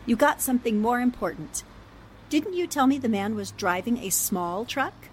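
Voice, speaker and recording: Actress as a confident, higher-voiced lady sleuth. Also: expressive, slight background noise, lightly acted. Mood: confident, deducing. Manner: brisk, assured, emphatic. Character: confident, shrewd female newspaper sleuth. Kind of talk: 1940s radio drama acting.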